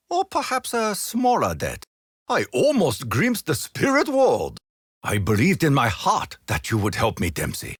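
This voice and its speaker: An actor playing a proud, honor-bound Japanese imperial soldier in a video game, speaking with a Japanese accent in stiff, formal phrases that keep collapsing into dry jokes. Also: male voice, high-pitched, a bit bright, no room sound, exaggerated voice. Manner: formal, stiff phrasing with sudden comic deflation.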